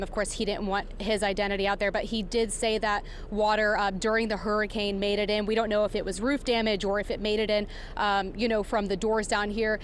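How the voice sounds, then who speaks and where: A woman working as a field news reporter, giving a live breaking report in a halting, improvised, explanatory way from outdoors. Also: mid-range voice. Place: outdoors outside a warehouse. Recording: clear background noise outdoors.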